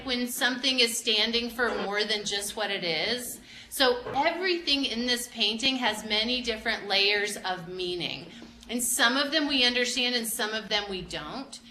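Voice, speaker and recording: A woman working as an educator, leading an interactive art discussion with children and encouraging them with questions. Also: fairly high pitch. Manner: encouraging, prompting with questions, repeats answers back. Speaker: museum educator teaching children. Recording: roomy echo, light background noise.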